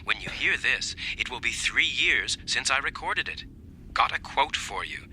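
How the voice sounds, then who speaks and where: A man's voice: mid-range voice, lively intonation, moderate pace, pushed and bright, a little hiss or hum, low-quality recording. A man playing a recorded telephone double in a radio drama, hearty and chummy, with a teasing, theatrical manner. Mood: needling.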